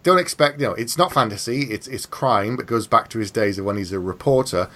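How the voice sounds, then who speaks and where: A man's voice: medium pitch, brisk, pushed and bright. A man hosting a podcast, talking casually and conversationally with his guest about books. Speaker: podcast host, interviewer. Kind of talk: casual conversational book chat.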